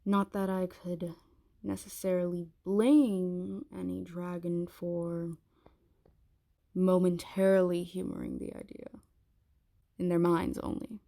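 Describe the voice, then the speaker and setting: A woman playing a haughty, menacing dragon queen in an intimate ASMR roleplay, speaking in an imperious, taunting, deliberate way. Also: on the low side, little pitch movement, vocal fry, warm tone. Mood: contemptuous, suspicious.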